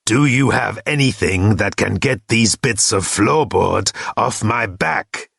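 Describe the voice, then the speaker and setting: Brief: a man voicing a towering horned thunder god, deep-voiced and solemn, measured and literal, dry close recording. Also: lively intonation, vocal fry, a bit bright, husky, no room sound, heavily acted. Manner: grave, formal declarations. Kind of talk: audiobook character voice.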